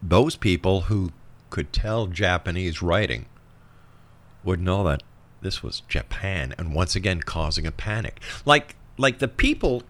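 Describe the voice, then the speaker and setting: A man's voice: deep voice, animated, a little slow, a bit bright. A man hosting a talk interview, putting probing questions emphatically and conversationally to a guest he addresses as a friend. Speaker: interview show host.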